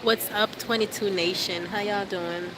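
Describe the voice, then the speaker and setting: A young woman with a stuffy, cold-clogged voice chats loosely into a phone she holds at arm's length. She fills her talk with lots of ums and easy asides. Clear background noise runs under the recording. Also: mid-range voice, moderate pace. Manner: chatty, relaxed, with frequent fillers and laughs. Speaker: vlogger livestreaming to fans. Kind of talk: casual live chat. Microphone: phone held at arm's length.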